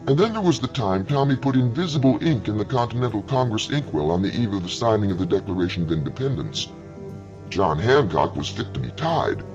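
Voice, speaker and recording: A man narrating a scripted story in a conversational way, with wry asides to the listener. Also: lowish voice.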